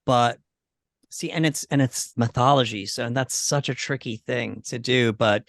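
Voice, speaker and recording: A man hosting a casual podcast about movies, thinking aloud in a halting way. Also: mid-range voice, vocal fry, fairly bright tone, dry recording, studio quality. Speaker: podcast host.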